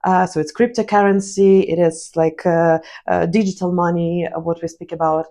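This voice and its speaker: A woman's voice: lowish voice, level tone, moderate pace, dark tone. Ukrainian-accented woman podcast host. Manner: long rambling questions with fillers. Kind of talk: interview conversation.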